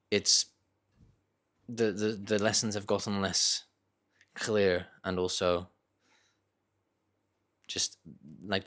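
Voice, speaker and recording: Man, low-pitched voice, Northern English accent with faint Dutch traces, casual solo vlog talk, thoughtful, halting, self-correcting. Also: fairly bright tone. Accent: Northern English with slight Dutch. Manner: hesitant, reflective, halting phrasing with self-corrections. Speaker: vlogger sharing reading thoughts. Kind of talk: casual unscripted monologue.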